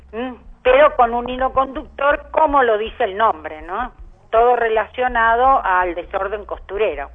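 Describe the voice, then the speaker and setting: A woman with an Argentine accent, speaking over the telephone as a storyteller being interviewed on the radio. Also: medium pitch. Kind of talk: conversational phone interview. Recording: muffled telephone line on radio.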